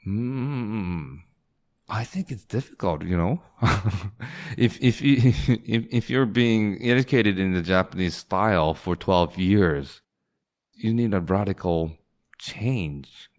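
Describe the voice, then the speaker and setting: A man's voice: on the low side, slow pace. A man who grew up in Singapore, a startup founder chatting casually as an interview guest and often restarting his phrases. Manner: restarts phrases, quotes others in a lighter voice.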